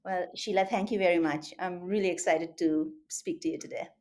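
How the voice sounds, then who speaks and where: Indian-accented woman, measured and articulate. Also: lowish voice, steady tone, a little mellow. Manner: measured, articulate, with occasional fillers. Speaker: surgeon and medical educator. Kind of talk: interview guest, recounting career.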